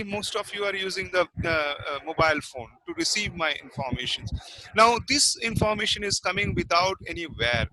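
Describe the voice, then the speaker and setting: Male teacher. Fairly high voice, heard over a noisy video call. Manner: repeats questions and answers them himself. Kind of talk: online class lecture. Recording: noisy online class audio.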